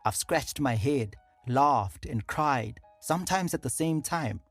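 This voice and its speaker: A narrator reading an audiobook in a measured, deliberate and formal way. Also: mid-range voice, expressive, no echo, faint music behind.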